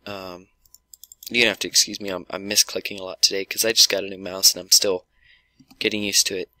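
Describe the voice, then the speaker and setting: Stuffy, sick young man with a bright voice. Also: sits low, little pitch movement, no room sound. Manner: halting, many ums and restarts. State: sick with a sore throat and stuffy nose. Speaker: gaming YouTuber. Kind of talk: casual gameplay commentary.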